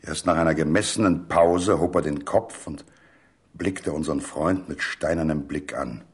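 A man reading a literary story as a scripted dramatic narration, measured and full of suspense. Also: rumbling low, breathy edge.

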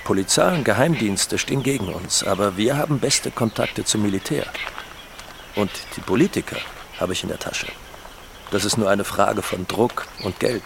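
An actor playing a defiant, self-assured drug cartel boss in a German radio docudrama, with a threatening, declamatory delivery. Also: male voice, on the low side, moderate pace, creaky, background noise. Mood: cold, confident. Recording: voice over prominent guitar music. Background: guitar music.

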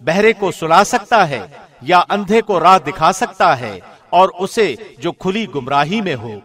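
A man reading a scripture translation from a script in a formal, measured announcer's delivery. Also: high-pitched, animated, fairly bright tone. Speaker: translation reader, radio host.

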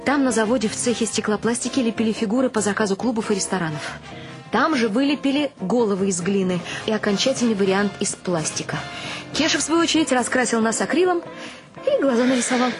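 A woman's voice: a little high, animated, fast, over music, natural voice. An actress playing a wry woman narrator who tells her eccentric family's story in a radio play. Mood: wry, lively.

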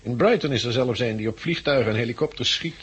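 A very husky male voice in a 1960s radio play. He is a friendly helicopter pilot recruiting for an island colony. His delivery is measured, courteous and explanatory. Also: medium pitch, a bit bright. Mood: informative, confident. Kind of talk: 1960s Dutch radio drama acting. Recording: muffled 1960s radio drama recording.

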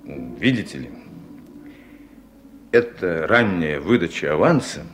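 A man's voice: low voice, sing-song, slow pace, a bit bright, faint music behind, heavily acted. An actor playing a collective farm chairman in a Soviet radio play, deferential yet evasive, hesitant and wordy. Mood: stubbornly explaining. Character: male collective farm chairman, hospital patient, politely stubborn.

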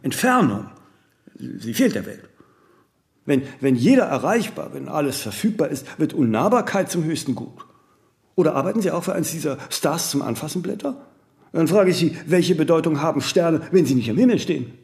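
An actor playing a vain, ageing celebrity in a radio play, imperious, aloof and rambling in aphorisms with little tag questions. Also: male voice, fairly high pitch, sing-song, moderate pace, vocal fry, darkish tone. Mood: musing, pontificating. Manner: haughty, rambling, aphoristic, with clipped tag questions and self-corrections. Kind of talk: radio play monologue in dialogue.

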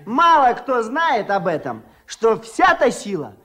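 Актёр в радиоспектакле играет хвастливого, восторженного деревенского мужчину, любителя птиц и музыки, который говорит по-простецки и сбивчиво. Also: male voice, very high-pitched, huge pitch swings, measured pace, bright, forceful tone, heavily acted. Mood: boastful, excited. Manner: bragging, folksy, stumbling over words. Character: boastful excitable village man, bird lover and musician. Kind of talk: Soviet radio play acting. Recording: 1979 radio play with music.

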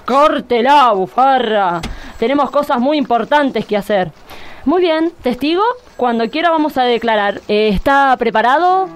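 High-pitched, exasperated Argentine lady judge, acted by a woman. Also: lively intonation, measured pace, smooth. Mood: scolding, then flustered, solicitous. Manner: emphatic, scolding, theatrical. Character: stern, indignant female judge, easily exasperated. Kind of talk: parody radio drama acting.

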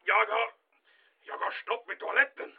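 An actor playing a flustered, desperate military major in a comic audio drama, stammering and pleading in a raspy, strained voice. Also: male voice, high voice, phone-quality sound, heavily acted. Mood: urgent, desperate. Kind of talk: comic radio-play acting.

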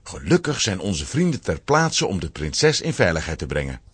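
A man giving a dramatic, expressive scripted narration for a children's audio drama. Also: low voice, normal speed, noisy background.

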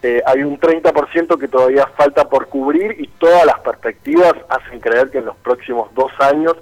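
A young man with a Buenos Aires Argentine accent speaks as a radio interviewee. His pitch is average for a man, and he lays out his answers in an articulate, explanatory manner. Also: moderate pace, rough recording. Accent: Buenos Aires Argentine Spanish. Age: young adult. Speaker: political party founder and interviewee.

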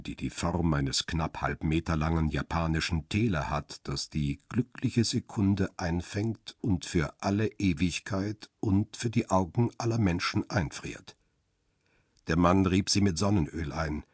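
Deep husky cynical paparazzo narrator. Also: male voice, no echo, clean studio sound. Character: male paparazzo photographer, cool, cynical, guarded first-person narrator. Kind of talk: first-person crime radio drama narration and dialogue.

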